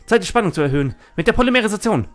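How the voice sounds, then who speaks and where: A man's voice: sits high, sing-song, very fast, soft background music, voice pushed up. A man voicing a cocky, sneering duelist who taunts and boasts, in an anime fan audio drama. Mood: gloating. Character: cocky, sneering male Thunder Dragon card duelist.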